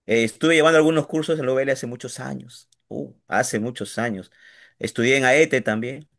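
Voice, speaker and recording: A man with a Peruvian accent, a pastor, introducing himself in a halting way and repeating himself. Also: mid-range voice, vocal fry. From Peru. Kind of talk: casual self-introduction.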